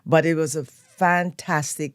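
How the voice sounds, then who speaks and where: A woman's voice: low voice, fairly even intonation, measured pace, no room sound. Older Trinidadian woman, dry studio voice. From Trinidad and Tobago. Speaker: guest, event planner. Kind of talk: relaxed storytelling interview. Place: radio studio.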